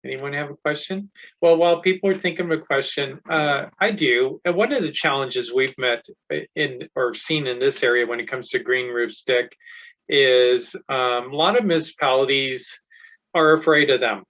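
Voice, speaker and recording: A man's voice: mid-range voice, moderate pace, a bit bright. A man moderating a webinar on a video call, friendly with hesitant fillers. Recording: dull video call audio.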